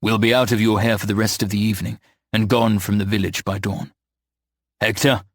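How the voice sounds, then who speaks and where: A man voicing a stern, guarded young man who talks in a firm monotone drawl. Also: on the low side, fairly quick, no room sound, clean studio sound. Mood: flat.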